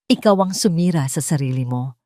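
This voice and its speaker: A woman voicing a calm, tender, resolute heiress, speaking softly and earnestly in an audio drama. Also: low voice, brisk, dry recording. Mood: calm, firm. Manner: soft-spoken, earnest.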